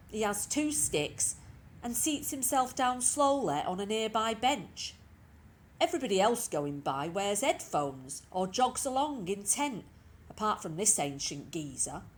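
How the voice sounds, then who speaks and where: A woman reads a short story expressively, in a conversational way that addresses the listener. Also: medium pitch. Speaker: reader of short stories. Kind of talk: expressive scripted story reading. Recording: clearly noisy with background ambience.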